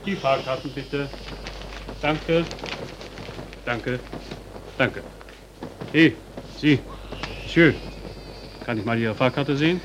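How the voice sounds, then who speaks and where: A man plays a polite train conductor in an old radio drama. He speaks in a medium-pitched voice, unhurried and matter-of-fact, over clear background noise. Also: natural voice. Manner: courteous, unhurried, insistent. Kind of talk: 1940s German radio play acting. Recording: noisy old radio recording.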